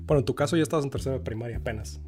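A man's voice: mid-range voice, rapid-fire, a little mellow. A man in his thirties with a mid-range voice. He co-hosts a podcast with slangy, teasing, off-color humor. Faint music plays in the background. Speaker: podcast co-host. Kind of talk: casual chat.

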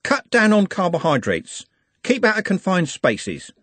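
A cocky young lad struts through this storybook scene, as a male reader puts him on. He talks high and boastful, with big swoops of pitch. A husky, dry close recording. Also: wildly swooping pitch, measured pace, a bit bright, no echo, full character voice.

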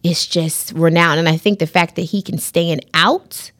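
A woman's voice: lowish voice, steady tone, a little slow, fairly bright tone. A woman hosting a podcast, introducing her guest with enthusiasm and backing her up with frequent murmurs of agreement. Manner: enthusiastic, affirming with frequent mm-hmms. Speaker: podcast host. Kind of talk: casual interview hosting.

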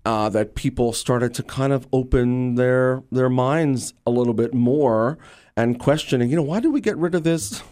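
A man with a mid-range voice talks as a guest in an interview. He is an animated storyteller, conversational and enthusiastic, and sounds like an actor explaining his own work. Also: medium pitch, moderate pace. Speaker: interview guest, actor and radio drama creator.

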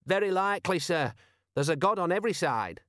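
A man's voice: sits high, animated, fairly quick, fairly bright tone, no echo, clean studio sound, pitched above the performer's own voice. A man voicing an earnest, pious constable who speaks firmly and with formal deference, in an audiobook. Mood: earnest, certain. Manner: firm, emphatic, respectful.

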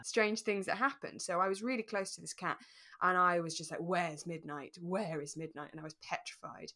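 Bright-voiced woman chatting casually. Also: lowish voice, fairly even intonation, moderate pace. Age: 30s. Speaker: podcast co-host. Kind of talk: relaxed casual chat with personal anecdotes.

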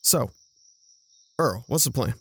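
Man acting a gravelly, animated, exasperated brother. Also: medium pitch, takes it slowly, faint music behind. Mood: wry, instructive. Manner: wry, incredulous, instructive. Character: exasperated, practical, protective brother, handy and patient. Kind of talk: audio drama acting.

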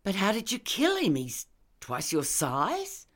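A woman voicing an earnest captive nurse in an audiobook, questioning and accusing in a clear manner. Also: medium pitch, wildly swooping pitch, slow, fairly bright tone, voice pushed up, heavily acted. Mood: incredulous. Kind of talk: audiobook character dialogue.

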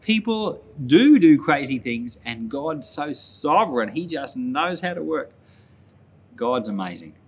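A man's voice: medium pitch, big pitch swings, unhurried, slightly rough. A man speaking as a pastor, giving informal, conversational Bible commentary with emphatic repeated phrases. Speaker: pastor and Bible podcast host.

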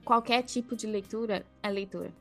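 A woman's voice: mid-range voice, a little fast, creaky, a bit bright, faint music behind. A Brazilian woman hosting a casual podcast discussion, explaining things and speaking directly to listeners. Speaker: podcast host.

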